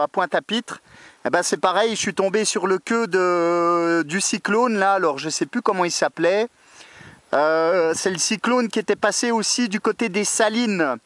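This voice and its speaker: A man's voice: high-pitched, a bit bright, dry recording. A man chatting casually as an amateur radio operator, repeating himself and tagging his phrases. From metropolitan France. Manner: repetitive, punctuates phrases with tag words. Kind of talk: casual radio contact chat. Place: beside his own radio station, filming it.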